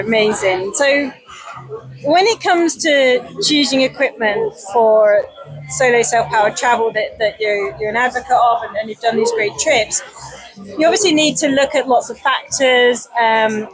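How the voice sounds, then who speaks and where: A woman's voice: a little high, poor audio. A woman co-hosting a podcast interview, enthusiastic and full of hesitant fillers. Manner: enthusiastic with many fillers and restarts. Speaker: podcast co-host. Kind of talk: casual interview chat. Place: festival marquee.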